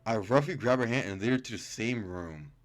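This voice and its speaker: A man's voice: on the low side, lively intonation, a little fast, faint music behind. A man reading game text aloud as a gaming YouTuber, stumbling and correcting himself, with casual reactions. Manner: stumbling, self-correcting reader.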